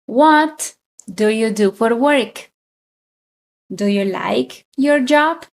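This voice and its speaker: A woman in her thirties from Colombia leads a beginner English lesson. She speaks in a fairly high voice, extremely slowly, and lays out each simple phrase with care before repeating it. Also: lively intonation, gravelly. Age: 30s. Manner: very slow, deliberate, with frequent repetition. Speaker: English teacher.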